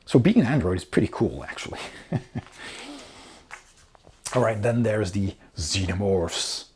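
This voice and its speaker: A man's voice: lowish voice, unhurried, darkish tone, a little hiss or hum. A man reviewing a game rulebook in a casual, explanatory way, talking informally as he goes through it.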